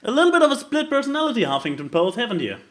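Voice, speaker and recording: A man's voice: very high voice, very animated, very fast. A man co-hosting a podcast, reading posts aloud and commenting casually with sarcastic, mock-dramatic delivery. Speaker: podcast co-host.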